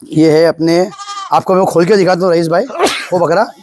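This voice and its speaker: A man's voice: a little high, fairly even intonation, normal speed, a little mellow. A man eagerly showing off and pricing goats in an outdoor farm pen, recorded on a nearby phone. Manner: pointing out features, eager selling. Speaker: goat farm seller. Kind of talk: casual sales walkthrough.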